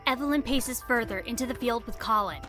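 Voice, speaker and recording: A woman's voice: on the high side, a little fast, sharp tone, faint music behind. A woman reading scripted storybook narration for an audio drama.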